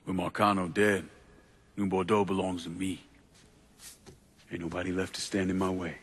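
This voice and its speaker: An actor playing a hard, resolute crime boss in a game drama, terse and commanding. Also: male voice, low voice, flat delivery, moderate pace, a bit bright, slight background noise. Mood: cold, resolute. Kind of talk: dramatic video game acting.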